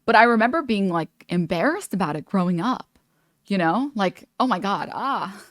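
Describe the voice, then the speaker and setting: A woman's voice: medium pitch, animated, normal speed, dry recording, clean studio sound. A young woman streaming, chatting casually with lots of "like" and "you know" as she meanders through her thoughts. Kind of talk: casual reaction stream commentary.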